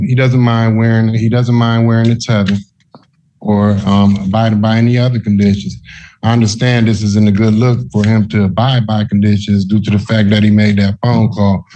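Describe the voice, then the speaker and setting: Low, flat-toned male defense attorney. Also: lo-fi sound. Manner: deferential, repetitive. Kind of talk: courtroom argument.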